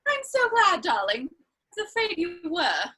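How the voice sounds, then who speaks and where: A woman's voice: extremely high pitch, huge pitch swings, unhurried, sharp tone, exaggerated voice. An actress playing a witty, teasing young society lady in a comedy of manners, with an arch, theatrical and playfully scolding manner. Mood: delighted affection. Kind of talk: stage comedy of manners, dramatic reading.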